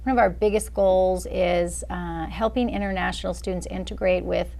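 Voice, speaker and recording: Middle-aged woman, low and gravelly, measured and hesitant with fillers, campus interview. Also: fairly even intonation, a little mellow. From Boise, Idaho. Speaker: associate director of student services.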